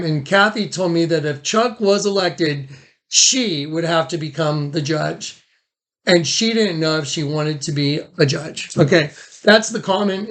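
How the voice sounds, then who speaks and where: A man hosting a recorded phone conversation, talking in a casual, informal and somewhat rambling way. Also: fairly high pitch. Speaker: host recording a phone statement. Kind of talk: casual, conversational documenting talk.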